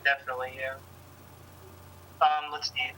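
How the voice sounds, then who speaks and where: A man's voice: medium pitch, flat delivery, normal speed, bright, forceful tone, slight background noise, low-quality recording. An American man co-hosting a casual podcast in an agreeable, conversational way. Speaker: podcast co-host.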